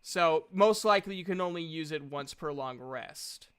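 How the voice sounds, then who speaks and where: A man's voice: fairly high pitch, moderate pace, fairly bright tone, smooth, no echo, clean studio sound. A man narrating a tabletop game as game master, chatting casually with a playful, sweary manner.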